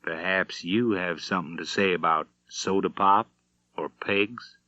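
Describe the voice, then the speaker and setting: A man voicing a quietly dangerous western gunfighter, soft-spoken, terse and deliberate, in an audiobook. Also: sits low, no echo, lo-fi sound. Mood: quiet menace. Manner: deliberate, restrained.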